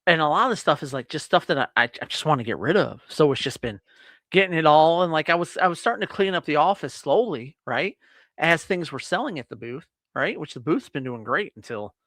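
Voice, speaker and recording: A man's voice: fairly high pitch, expressive, a little fast, a bit bright, dry recording. A man co-hosting a podcast, chatting casually from his home office in a rambling, laid-back way with casual swearing. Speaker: podcast co-host. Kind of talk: casual chat.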